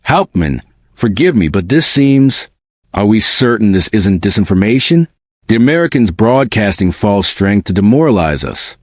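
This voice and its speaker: A man's voice: lowish voice, big pitch swings, moderate pace, no echo, studio quality. A man voicing a doubtful German colonel who questions the briefing warily.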